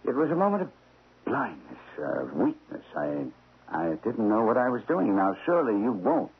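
An actor playing an evasive, nervous investment counselor in a radio drama, hesitant, hedging and placating. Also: male voice, on the low side, sing-song, moderate pace, creaky, husky, exaggerated voice. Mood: flustered, sheepish. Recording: muffled lo-fi old radio broadcast.

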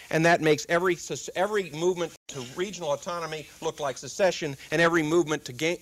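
A middle-aged man with a dry, wry humor, giving a public lecture at a desk microphone in a lecture room. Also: fairly high pitch. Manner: dry wry humor, quotes with comic timing. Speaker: guest speaker.

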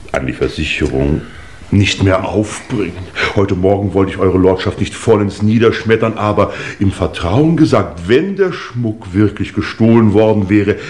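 Casting: lord's discreet adviser, very low voice, formal, careful, obliging, vintage radio drama. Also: male voice, darkish tone. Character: deferential, discreet male adviser to an English lord. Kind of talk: 1950s German radio play acting.

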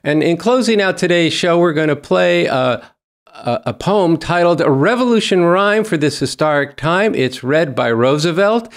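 Older male host, slightly high voice. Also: expressive, normal speed. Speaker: show host. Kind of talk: show sign-off to camera. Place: small indoor studio. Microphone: close desk microphone.

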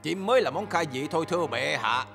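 Creaky, wise elder smurf. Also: male voice, a little high, lively intonation, fast, loud music behind the voice. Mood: assured. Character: wise, calm elder smurf leader, persuasive. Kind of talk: Vietnamese cartoon dub.

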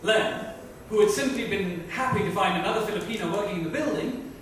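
A woman's voice: mid-range voice, fairly quick. A woman giving a dramatic reading of literary fiction. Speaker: book reader. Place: indoor club lounge. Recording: echoey room, distant mic, faint noise.

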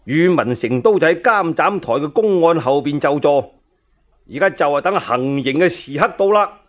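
High-voiced male Cantonese storyteller. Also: lively intonation, moderate pace. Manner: colloquial, addressing listeners directly. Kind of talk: dramatic radio storytelling of a historical tale. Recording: narrow-band, phone-like radio recording.

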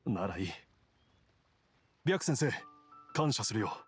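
A man playing a weary, kindly father who is recovering, halting and soft-hearted, in a Japanese anime game. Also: lowish voice, very animated, faint music behind. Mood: grateful, confused.

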